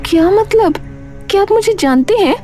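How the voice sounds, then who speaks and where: A woman's voice: very high voice, expressive, moderate pace, warm tone, soft background music, pitched above the performer's own voice. A woman voicing a distressed, kind young village wife in a cartoon story, imploring and earnest. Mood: anxious.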